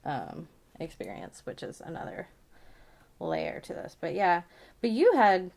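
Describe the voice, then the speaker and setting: A woman's voice: on the low side, wildly swooping pitch, unhurried, creaky, darkish tone. A woman in her thirties hosting a casual, personal podcast conversation, speaking in hesitant, stop-start phrases. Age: 30s. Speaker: podcast host.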